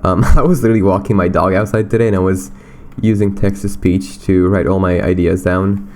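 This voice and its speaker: A man's voice: sits low, steady tone, a little fast, a little mellow, a little hiss or hum. A young man from Romania with a slight Romanian accent, rambling in a casual, self-deprecating way on his solo podcast. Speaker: podcast host.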